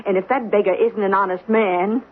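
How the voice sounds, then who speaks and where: A woman's voice: medium pitch, steady tone, brisk, close to an everyday voice. A woman acting in a 1970s radio drama as a strong-willed working-class housemaid and wife, with an emphatic delivery. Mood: insistent, urgent. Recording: noisy, phone-like old radio recording.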